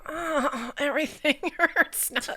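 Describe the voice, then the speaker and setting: A woman's voice: high-pitched, takes it slowly, sharp tone. A woman in her forties chatting casually on a podcast in a candid, sweary way. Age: 40s. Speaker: podcast co-host. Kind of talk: casual chat.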